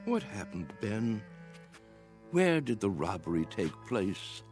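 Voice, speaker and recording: A man's voice: fairly low pitch, big pitch swings, takes it slowly, darkish tone, soft background music. An actor playing an earnest, dreamy old man who muses fervently about youth, in a radio drama. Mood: searching, musing. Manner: earnest, musing, insistent.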